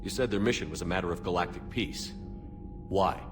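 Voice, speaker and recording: An actor playing a steadfast human military commander in a video game, speaking firmly, directly and seriously. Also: male voice, low voice, fairly quick, far from the mic, music in the background, lightly acted. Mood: insistent. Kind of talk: video game cutscene acting.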